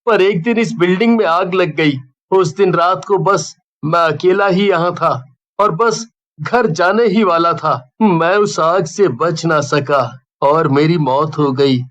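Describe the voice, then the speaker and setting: Cartoon ghost role, played by a man: young, sorrowful, earnest, unusually high male voice, slow delivery. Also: fairly bright tone, muffled recording. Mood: wistful, confessing. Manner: earnest, wistful. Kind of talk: Hindi horror cartoon dubbing.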